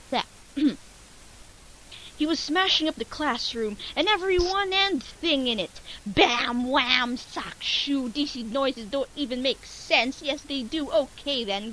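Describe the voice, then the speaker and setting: A woman's voice: a little high, fairly bright tone, low-quality recording. A woman narrating a fan fiction as a comic dramatic reading, with playful, exaggerated storytelling. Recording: hissy amateur home recording.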